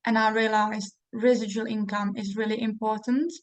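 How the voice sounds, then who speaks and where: A woman's voice: medium pitch, level tone, normal speed, no room sound. A woman from the Czech Republic with a Czech accent, hosting a casual interview and talking chattily with fillers and restarts. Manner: chatty with frequent fillers and restarts, laughing now and then. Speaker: host.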